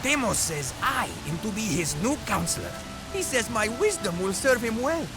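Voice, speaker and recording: A man voicing a pompous, haughty bird who serves as a royal advisor in an animated video game. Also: high-pitched, moderate pace. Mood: self-important, flustered. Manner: haughty, squawking, theatrical. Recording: loud music underneath.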